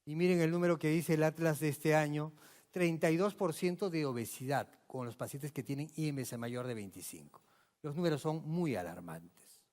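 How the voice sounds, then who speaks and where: A man from Peru delivers a medical lecture in a large hall, his voice a bit higher than most men's and trailing long reverb. He talks emphatically and asks the audience questions. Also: a little mellow. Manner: emphatic, rhetorical questions, addresses audience directly. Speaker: physician lecturer.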